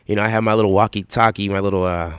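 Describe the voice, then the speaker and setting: A young man with a raspy, very deep voice tells his story at an easy, rambling pace, full of pauses and fillers. His delivery stays flat and even, and the sound is dry and close, with no room around it. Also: no echo. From Seattle. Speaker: rapper guest. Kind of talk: casual interview answers.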